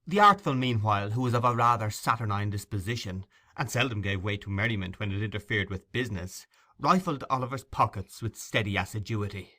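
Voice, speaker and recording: A man's voice: fairly low pitch, brisk, pushed and bright. A man narrating classic fiction from a script as an audiobook reader.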